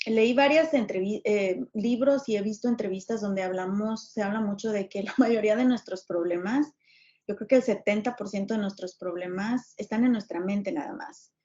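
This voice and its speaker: A woman's voice: mid-range voice, a little mellow. A Mexican woman in her forties, a podcast guest telling her story earnestly and with emphasis. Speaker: guest, journalist and businesswoman. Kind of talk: podcast interview conversation. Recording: dull lo-fi sound.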